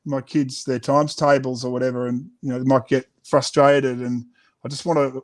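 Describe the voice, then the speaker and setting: Australian male author as interview guest, middle-aged, mid-pitched voice, sincere and thoughtful, conversational. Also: flat delivery, moderate pace.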